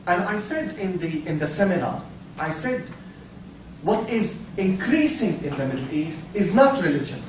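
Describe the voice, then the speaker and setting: A man from Syria, giving a talk, halting and repeating words, asking the audience questions. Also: high voice, big pitch swings. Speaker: speaker. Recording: echoey hall, from far off, faint noise.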